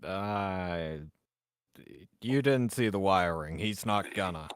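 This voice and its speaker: A man's voice: deep voice, expressive, slow pace, clear, resonant tone, no echo, clean studio sound. A man chatting casually as a player in a tabletop roleplaying game.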